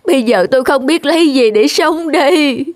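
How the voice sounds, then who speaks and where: A woman voicing folk tale characters in an animated cartoon. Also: high voice, normal speed. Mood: pleading.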